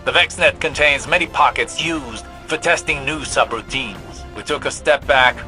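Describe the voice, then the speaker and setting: Older wise teacher from a video game, formal and demanding, forceful bright voice, faint music behind. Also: male voice, mid-range voice. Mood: pensive, instructive. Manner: formal, measured, instructive. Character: older male scholarly mentor, intense, demanding and philosophical.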